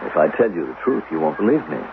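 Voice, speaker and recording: Radio drama, male coolly controlled government investigator: precise, formal, commanding, highly varied pitch, thin lo-fi vintage sound. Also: big pitch swings, fast, gravelly, full character voice. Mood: wry, confiding. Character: controlled, officious male investigator of a future state. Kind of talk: 1970s radio drama acting. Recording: narrow, lo-fi old radio recording, faint noise.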